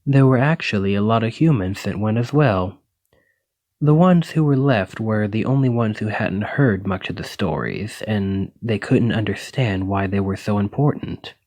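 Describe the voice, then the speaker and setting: Male actor as dragon-blooded librarian boyfriend, lowish pitch, earnest, reassuring, bookish, gentle, ASMR roleplay. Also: moderate pace, natural voice. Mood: earnest, explanatory. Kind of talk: ASMR roleplay, speaking softly to a partner.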